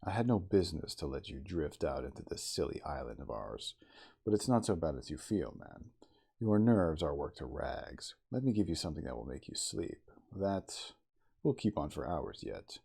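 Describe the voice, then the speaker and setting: Guy putting on a gruff low gravelly man for an asmr storybook, brushing you off but kinda soothing. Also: sing-song, normal speed, mellow, full character voice. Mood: placating. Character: gruff, evasive man soothing a rattled guest. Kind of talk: ASMR storybook character reading.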